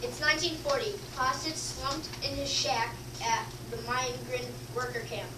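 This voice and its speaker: A young girl reads story narration from a script in a high voice. She sounds distant, in an echoey room with clear background noise. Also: level tone, a little slow. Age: child or young teenager. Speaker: narrator in a student readers theater. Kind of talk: scripted narration read aloud.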